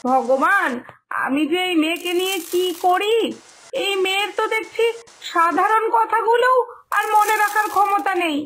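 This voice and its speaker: A woman's voice: very high-pitched, moderate pace, a bit bright, slight background noise, poor audio. A woman voicing a fretful village mother in a cartoon moral story, lamenting and admonishing in an exasperated way. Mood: exasperated scolding.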